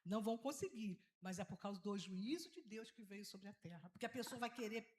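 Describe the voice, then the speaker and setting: A woman's voice: mid-range voice, fairly quick, a little mellow. A woman pastor with a Carioca Brazilian accent, preaching conversationally, informal and repeating phrases for emphasis with frequent tag questions. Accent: Carioca Brazilian Portuguese. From Rio de Janeiro, Brazil. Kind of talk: conversational sermon to a congregation. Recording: roomy, echoing sound of a live talk.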